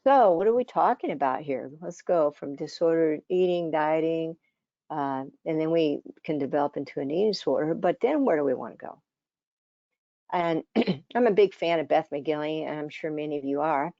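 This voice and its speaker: An older woman, around seventy, giving a conversational conference presentation as a dietitian, her voice scratchy and hoarse, with many fillers and restarts. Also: low voice, normal speed, mellow, no echo, lo-fi sound.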